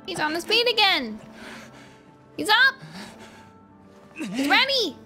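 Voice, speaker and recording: A woman streamer with a high, swooping voice chats through a game in a casual, playful way. She takes her time between exclamations, and music plays behind her. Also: takes it slowly. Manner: playful, expressive, exclamatory reactions. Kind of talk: casual live gameplay commentary.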